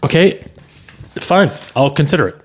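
A man's voice: on the high side, sing-song, normal speed, breathy edge. A man co-hosting a comedy podcast and insistently defending his work in casual banter. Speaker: podcast host and amateur screenwriter. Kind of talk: comedic table read and banter.

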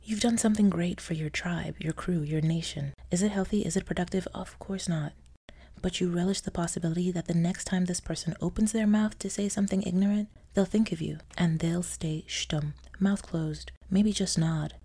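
A woman from Nigeria hosts her own podcast, telling a scripted story in a low, mellow voice. Her tone is wry and sardonic, with theatrical emphasis and soft laughs slipping in. A gravelly edge runs through her speech. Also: moderate pace. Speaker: podcast host.

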